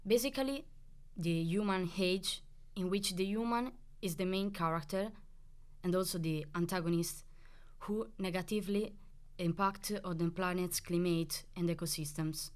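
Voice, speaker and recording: A woman with a lowish, clear and resonant voice reads carefully for a student podcast. Her delivery is steady, with a few small slips. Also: moderate pace. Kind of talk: reading a prepared segment on the environment.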